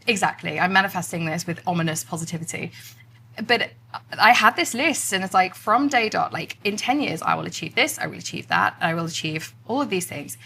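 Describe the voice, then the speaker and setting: A woman's voice: on the low side, expressive, a little fast, bright, forceful tone, a little hiss or hum. A woman hosting a podcast interview, chatty and affirming, asking reflective questions. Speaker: podcast host. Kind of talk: casual interview conversation.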